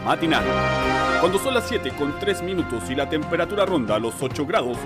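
A man's voice: on the high side, big pitch swings, brisk. A man playing a formal morning radio newsreader, reading a scripted bulletin. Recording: loud music bed behind.

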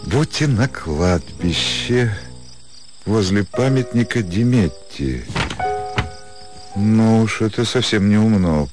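An actor playing an earnest, lovestruck country doctor in a radio drama, pleading ardently and brooding over his doubts. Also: low voice. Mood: pensive, self-doubting. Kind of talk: theatrical radio drama acting. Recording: music behind the voice.

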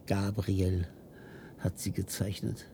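An actor playing an obsessive, tormented scientist in a German radio play, theatrical and halting, moving between hushed whispers and outbursts. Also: male voice, sits low, level tone, noisy background. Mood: bitter, haunted. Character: obsessive, tormented male linguist scientist, desperate and defiant.

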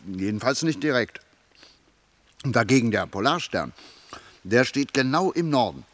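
An actor playing a wry, evasive and testy soldier in a radio play, hesitant and sardonic. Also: male voice, mid-range voice, very animated, normal speed, fairly bright tone, dry recording. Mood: explaining. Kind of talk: German radio play dialogue.

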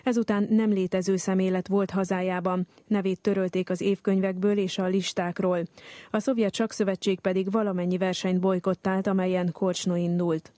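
A woman reading a scripted radio documentary narration in a steady, measured way. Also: mid-range voice, no room sound.

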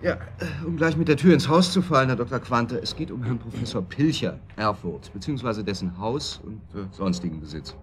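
An actor playing a blunt, joking tax investigator with an ironic, colloquial way of talking in a radio drama. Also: male voice, mid-range voice, expressive, fairly quick, hardly any pauses, slight background noise, close to an everyday voice. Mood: brisk, ironic. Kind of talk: naturalistic German radio crime drama acting.

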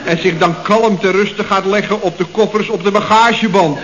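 Glib, self-justifying employee with a slightly high voice, dull old radio sound. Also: male voice, fairly even intonation, moderate pace, a bit bright. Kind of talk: 1960s radio comedy acting. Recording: dull old radio recording with faint noise.

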